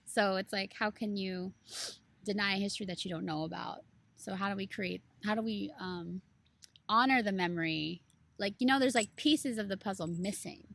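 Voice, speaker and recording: A woman's voice: mid-range voice, moderate pace, gravelly, a bit bright. A woman co-hosting a casual book club podcast, talking earnestly and rambling with plenty of "like" and "you know".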